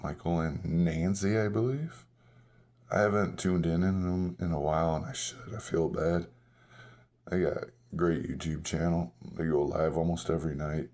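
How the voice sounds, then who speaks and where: A man with a hoarse, half-lost voice, chatting casually and rambling through a collector's show-and-tell vlog. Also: extremely low pitch, darkish tone. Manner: rambling, self-correcting, chuckles at himself. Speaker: coin-collecting vlogger.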